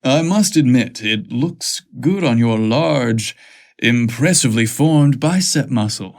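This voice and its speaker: A man voicing a confident, flirtatious, athletic male boss in an audio story, self-assured and smooth-talking. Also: mid-range voice, expressive, takes it slowly. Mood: flirty.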